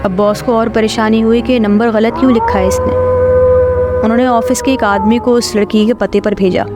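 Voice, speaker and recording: A woman's voice: a little high, steady tone, moderate pace. A woman reading a scripted horror story as its narrator. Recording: clear music bed under voice.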